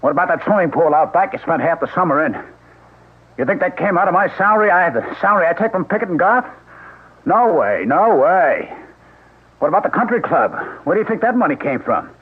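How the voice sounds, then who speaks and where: An actor playing a middle-aged businessman who calmly confesses to murder, in a coldly matter-of-fact, self-justifying way, in a 1970s radio drama. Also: high voice, a little fast, husky, exaggerated voice. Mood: indignant. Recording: tinny old radio broadcast.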